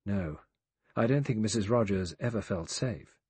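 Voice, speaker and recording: A man's voice: mid-range voice, sing-song, brisk, darkish tone, breathy edge, dry recording. A man voicing an earnest, anxious young woman in an audiobook.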